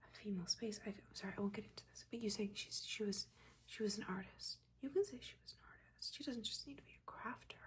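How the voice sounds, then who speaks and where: Witch mentor for ASMR roleplay, female performer: soft whispering, caring yet judging, gentle halting instruction. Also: medium pitch, lightly acted. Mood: earnest, opinionated.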